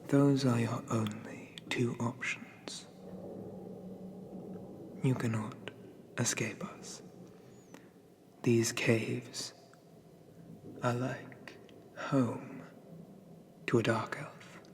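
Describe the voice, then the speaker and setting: A man voicing a sadistic, condescending dark elf captor in a breathy half-whisper, deliberate and softly menacing, for an ASMR roleplay. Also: medium pitch, flat delivery. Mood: cold menace.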